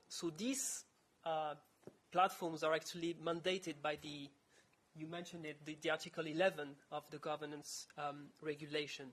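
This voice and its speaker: A man's voice: fairly high pitch, a bit bright. A man presenting a project on a conference panel, hesitant with many fillers and restarts.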